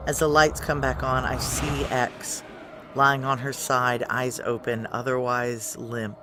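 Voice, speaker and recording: A man's voice: medium pitch, flat delivery, slow, background noise, close to an everyday voice. A man playing a tough, controlled security chief who narrates a sci-fi noir audio drama in clipped, terse phrases. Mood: tense, controlled. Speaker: narrator and lead character.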